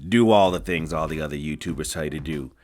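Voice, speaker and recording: A man's voice: very deep voice, fast, darkish tone, faint music behind. A man co-hosting a casual wrestling chat, talking in laid-back, drawling banter. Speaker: co-host.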